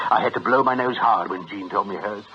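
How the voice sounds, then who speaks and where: An actor playing a jovial, wily British swindlers' ringleader in a radio drama, with an ironic, chuckling, avuncular way of talking. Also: male voice, fairly low pitch, moderate pace, close to an everyday voice. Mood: teasing. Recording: dull radio recording, faint noise.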